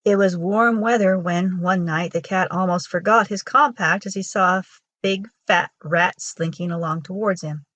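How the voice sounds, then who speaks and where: A woman with a husky voice reading a children's folktale aloud as a storyteller. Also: lowish voice, normal speed, few pauses, a bit bright, dry recording. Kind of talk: scripted storybook narration for children.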